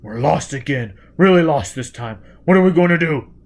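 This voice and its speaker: A man voicing a rough, driven male fugitive in an audiobook, speaking in terse, forceful phrases. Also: medium pitch, animated, moderate pace, lightly acted. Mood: weary disgust.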